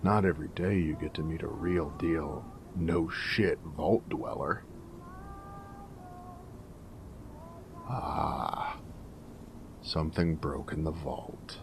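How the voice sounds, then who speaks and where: Weathered, friendly male ghoul, audio roleplay: wry and joking, heavy gravel and fry, unhurried drawl. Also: a bass voice, huge pitch swings, noisy background, exaggerated voice. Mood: teasing, friendly. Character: snarky, friendly male ghoul wasteland companion, weathered and irradiated. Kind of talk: character roleplay audio drama.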